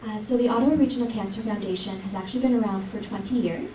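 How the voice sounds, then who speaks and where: A soft-spoken woman from a cancer charity giving a community presentation, hesitant, with many uhs. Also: mid-range voice, fast talker, a little hiss or hum. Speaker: charity representative. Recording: distant mic in a roomy hall.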